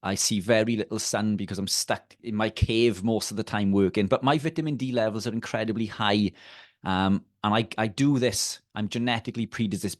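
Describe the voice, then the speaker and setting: A man's voice: lowish voice, moderate pace, no room sound, studio quality. A man with a Welsh accent, a guest on a video call, explaining health topics in a casual, hesitant way with many fillers and restarts. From Wales.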